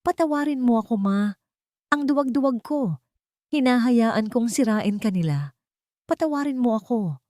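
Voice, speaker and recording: A woman's voice: on the high side, expressive, moderate pace, pauses often, warm tone, dry recording, studio quality, voice pushed up, natural voice. A woman voicing a desperate, weeping young pregnant heiress in an audiobook drama, pleading in anguish. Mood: tearful apology.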